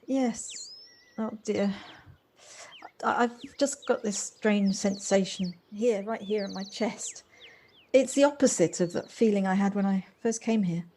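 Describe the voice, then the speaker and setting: A woman in an audio play acting an earnest, reflective woman with a halting, tentative delivery. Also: medium pitch, warm tone, a little hiss or hum, close to an everyday voice. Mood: wistful, hesitant. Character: earnest, hesitant woman coming home softened and reflective. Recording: home recording on personal device, music behind.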